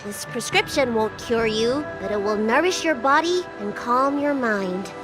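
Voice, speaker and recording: Game role: little girl healer, perky yet professional, high voice with very animated intonation. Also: a little slow, music in the background, poor audio. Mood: polite, earnest. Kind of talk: animated video game voice acting.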